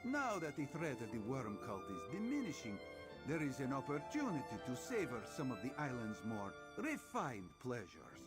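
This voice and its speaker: An actor voicing a suave, sly cat-man spy in a fantasy game, speaking in a smooth, theatrical manner. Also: mid-range voice, huge pitch swings, normal speed, loud music behind the voice. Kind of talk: scripted game dialogue.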